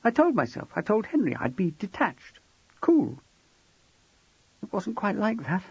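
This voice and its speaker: An audiobook reader, a man, voicing a frightened, tearful pregnant young wife who talks softly and tremblingly. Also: high voice, wildly swooping pitch, unhurried, vocal fry, husky, no echo, pitched above the performer's own voice, full character voice. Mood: tearful, scared. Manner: soft, trembling and sniffly. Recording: dull, dry lo-fi recording.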